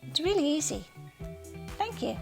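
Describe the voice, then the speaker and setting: A woman's voice: high-pitched, very animated, normal speed, vocal fry, a little mellow, soft background music. A woman reading a short scripted promo as a channel intro announcer.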